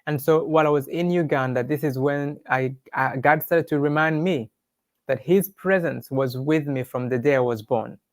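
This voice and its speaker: A man's voice: on the high side, moderate pace, dry recording, clean studio sound. A man with a Rwandan accent shares his personal testimony as an interview guest, speaking in an earnest, devout storytelling way.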